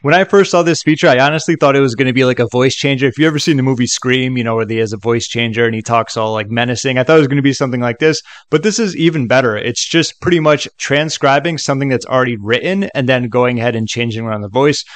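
A man narrating a software tutorial in a casual, conversational way with chatty asides. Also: mid-range voice, fast talker, few pauses, no room sound.

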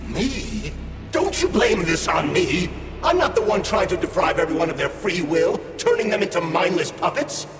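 High, biting artificial companion. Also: male voice, sing-song, normal speed, roomy sound, in a large hall, over music. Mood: indignant, accusing. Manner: accusatory, biting, emphatic. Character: indignant, sardonic male talking artificial companion. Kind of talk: video game cutscene acting.

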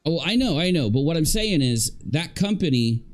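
A man's voice: a little high, big pitch swings, normal speed, faint music behind. A man in his thirties giving casual, sarcastic and emphatic live commentary as a stream host. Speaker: livestream host. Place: indoor room at home.

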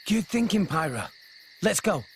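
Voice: medium pitch, big pitch swings, a little slow, slight background noise. An actor playing an earnest, plucky teenage boy adventurer who talks informally in a video game. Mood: resolute. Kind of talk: video game cutscene acting. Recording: music under the voice.

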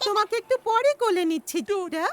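A woman voicing a kindly, patient cartoon grandmother who talks affectionately and gently instructs children. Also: very high voice, fast talker, loud music behind the voice.

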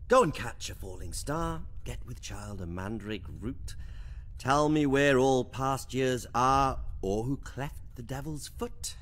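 An actor playing a vain, quick-witted young male wizard in a radio drama, sardonic and theatrical. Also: mid-range voice, fairly bright tone. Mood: reciting, dry.

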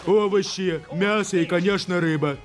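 Man as a high-voiced fussy cartoon animal. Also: moderate pace, natural voice. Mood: haughty. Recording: music under the voice.

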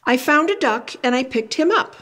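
An older woman reading a picture book aloud to children, animated and exclamatory, asking the young listener questions as she goes. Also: high voice, normal speed.

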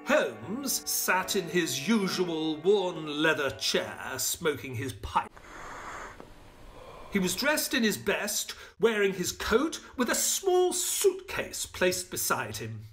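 An actor playing a proper, reflective middle-aged army doctor, narrating formally and with measure in a British accent. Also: male voice, high-pitched, fairly bright tone, heavily acted. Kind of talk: dramatic first-person scripted narration.

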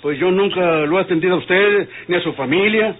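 Actor playing a high-voiced, haughty ageing doctor, tinny recording. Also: male voice, brisk, close to an everyday voice. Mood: haughty, dismissive. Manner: indignant, formal, refusing. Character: proud, indifferent, ageing rich provincial doctor. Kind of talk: old radio drama acting. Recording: tinny narrow-band old radio drama, faint noise.

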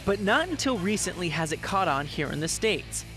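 A man's voice: sits high, sing-song, moderate pace, sharp tone, soft background music. A young man reporting and narrating an upbeat travel segment, enthusiastic and chatty.

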